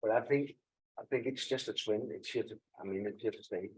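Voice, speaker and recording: A man's voice: fairly low pitch, a little fast, husky, poor audio. A man speaking on a panel in a casual way, with fillers and restarts. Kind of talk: panel discussion.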